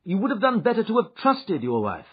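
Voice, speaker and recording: A man doing a sharp, high-voiced detective. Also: wildly swooping pitch, brisk, breathy edge, highs cut off, full character voice. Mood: assured. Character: sharp, eager male detective.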